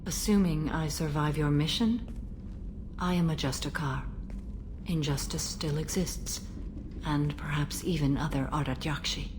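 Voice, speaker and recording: A woman voicing a proud, mature alien warrior for a video game, speaking in a formal, measured, stoic way. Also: sits low, noisy background. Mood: solemn resolve. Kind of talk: video game dramatic acting.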